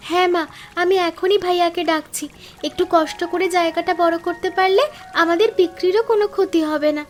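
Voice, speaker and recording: A woman voicing an eager, caring young village daughter in an animated story. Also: very high voice, brisk. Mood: eager, helpful. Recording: voice over background music.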